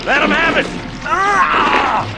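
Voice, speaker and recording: An actor playing a gruff, hot-tempered marine sergeant barking orders in a video game battle scene. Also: male voice, very high voice, takes it slowly, full character voice, rough recording. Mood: furious shouting. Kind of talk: video game cutscene acting. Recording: game battle audio, loud music and gunfire.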